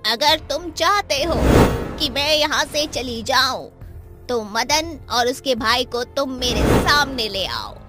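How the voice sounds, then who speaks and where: A high-pitched, slow-speaking ghost witch played by a woman in a cartoon horror tale. She is aggrieved and vengeful, with dramatic delivery over clear background music. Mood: stern, bargaining. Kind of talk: Hindi animated horror story acting.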